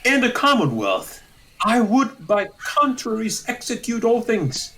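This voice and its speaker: A man playing a kindly, talkative, dignified old counsellor in a Shakespeare audio drama, with a deliberate, declamatory delivery. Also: very high voice, big pitch swings, sharp tone, a little hiss or hum, exaggerated voice. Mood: visionary, expansive.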